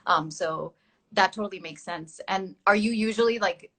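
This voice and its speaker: A woman's voice: mid-range voice, normal speed, a bit bright. A young adult woman hosting a casual online live chat as a dance teacher, chatty and full of fillers, laughing at herself now and then.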